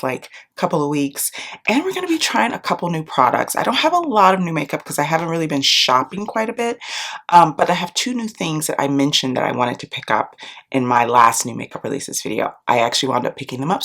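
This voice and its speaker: Congested woman vlogger in her thirties, gravelly with fry, rambling chat to a close camera. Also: low voice, a bit bright. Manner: chatty, rambling, lots of ums and likes. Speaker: beauty and book vlogger. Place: small room at home, indoors. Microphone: camera close up, face framing.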